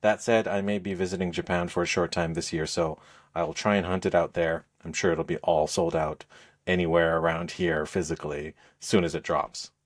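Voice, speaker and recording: A middle-aged man hosting a casual podcast, talking in a measured, hesitant way with frequent pauses. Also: low voice, steady tone. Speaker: podcast host. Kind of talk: casual gaming podcast chat.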